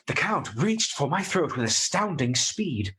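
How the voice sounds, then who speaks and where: An actor playing a polite, earnest young gentleman in a radio play, speaking precisely and formally. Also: a little high, big pitch swings, measured pace, slight background noise. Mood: uneasy, grave. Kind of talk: radio play acting with diary narration.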